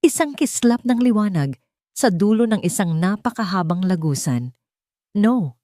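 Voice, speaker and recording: A woman's voice: medium pitch, animated, moderate pace, warm tone, no echo. A woman with a Filipino accent reading a scripted dramatic story as narrator.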